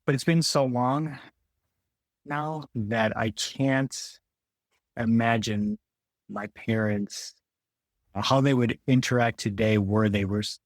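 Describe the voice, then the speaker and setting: A man's voice: mid-range voice, dry recording, clean studio sound. An American man in his forties from the Detroit area, talking casually and reflectively as an interview guest, rephrasing as he goes. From Detroit area, Michigan, United States. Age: 40s. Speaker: podcast guest.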